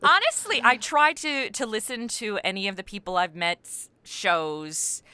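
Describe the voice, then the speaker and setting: Middle-aged female podcast guest, forward bright tone in a dry, close recording, informal and animated. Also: mid-range voice, measured pace, smooth, no room sound. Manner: animated, self-deprecating, acts out quoted remarks. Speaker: podcast creator and voice actor, interview guest. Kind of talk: casual interview chat.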